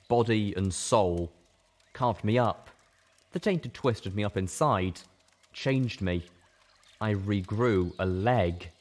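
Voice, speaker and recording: A man reading an audiobook as a dramatic, intense first-person narrator. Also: on the low side. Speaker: audiobook reader.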